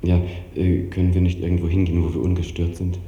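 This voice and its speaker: An actor playing an anguished, insistent husband in a 1950s German radio drama, earnest and pressing, on an old noisy recording. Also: male voice, deep voice, little pitch movement, quick pace, warm tone, dull audio. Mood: urgent.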